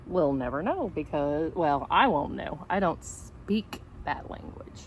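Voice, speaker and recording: A woman reading a children's story aloud in a playful, expressive way, with asides addressed to the young listeners. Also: low-pitched, slow, slight background noise, rough recording. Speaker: storybook reader.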